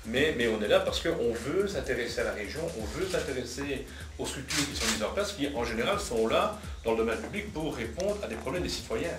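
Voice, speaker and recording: Male debater, politician, long-winded and argumentative, mid-pitched, in an echoing room. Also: expressive, a little fast, over music. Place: cafeteria. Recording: roomy, distant mic, noisy with clicks.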